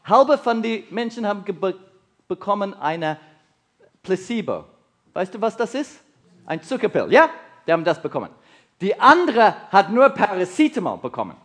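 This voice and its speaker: South African man preaching in halting German. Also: sits high, big pitch swings, a bit bright. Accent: South African English. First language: English. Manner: halting non-native German with slips, asks the audience questions. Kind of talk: sermon to a congregation.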